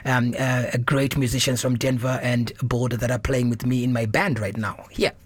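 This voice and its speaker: A man's voice: mid-range voice, steady tone, moderate pace, husky, dry recording. A man from Zimbabwe with a Zimbabwean accent, answering casually and explaining things as an interview guest, often repeating words as he goes. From Zimbabwe, grew up in Harare. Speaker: interview guest, musician and marimba teacher. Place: radio studio.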